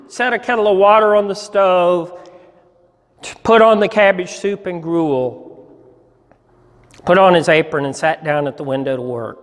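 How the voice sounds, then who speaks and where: A man in his sixties giving a deliberate, expressive dramatic reading of a story, with pauses, as a pastor in a church. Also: sits high. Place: church sanctuary, indoors. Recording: hall reverb in a church.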